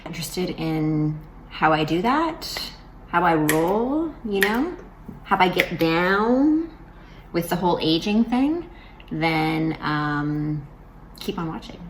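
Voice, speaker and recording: A woman's voice: on the low side, expressive, slow pace, slight background noise, poor audio. A woman in her forties talking casually to camera in a vlog, chatty and rambling, with lots of fillers and restarts. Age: 40s. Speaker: vlogger.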